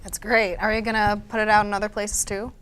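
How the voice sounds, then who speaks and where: A woman's voice: medium pitch, level tone, fairly quick, a bit bright, clear, resonant tone. A teenage girl hosting a talk show, giving brief, encouraging prompts to her guests. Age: teens. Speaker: teen show host.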